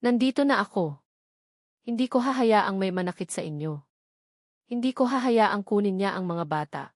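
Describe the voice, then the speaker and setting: A woman storyteller plays a resolute man from a romance tale, protective, tender yet stern. The voice stays at a woman's middle pitch, recorded dry and close. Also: lively intonation, moderate pace, no echo, lightly acted. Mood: tender, reassuring. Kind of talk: dramatic storybook character reading.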